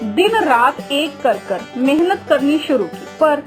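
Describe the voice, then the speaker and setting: A woman's voice: high-pitched, sing-song, slow pace, faint music behind. A woman reading scripted narration for a cartoon moral story as its storyteller.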